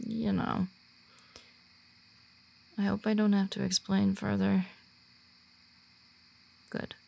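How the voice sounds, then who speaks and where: Actress as earnest female werewolf guide for roleplay audio: heavy vocal fry, unhurried to slow delivery, soft, hesitant, shy. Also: medium pitch, heavily acted. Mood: flustered, awkward. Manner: hesitant, halting, awkward when personal. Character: shy, earnest born werewolf woman guiding a newcomer. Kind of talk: roleplay audio drama, softly spoken to the listener.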